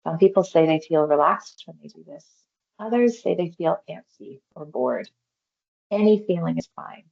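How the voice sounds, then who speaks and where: Low-voiced woman guiding meditation, gravelly creak. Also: expressive, lo-fi sound. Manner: gentle, unhurried, reassuring, with pauses between short phrases. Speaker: mindfulness exercise guide. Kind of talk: guided meditation, body scan instruction.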